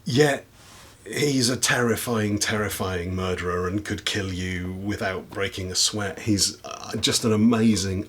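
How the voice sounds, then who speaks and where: A man from the UK, a YouTuber, talking casually to camera in a slightly husky voice after losing it, rambling and self-deprecating with lots of ums. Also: deep voice. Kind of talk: casual recommendation chat to camera. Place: indoors at home desk with big lights.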